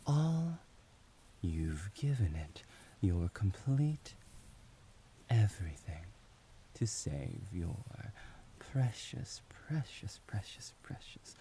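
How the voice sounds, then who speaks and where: A man voicing a devoted, doting young male servant in an ASMR roleplay, whispering softly and soothingly. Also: lowish voice, slight background noise. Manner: soft whispering, affectionate and soothing.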